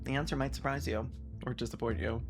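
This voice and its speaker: A man hosting a video essay, delivering scripted commentary in a sarcastic, deadpan manner. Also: medium pitch, quick pace, music in the background.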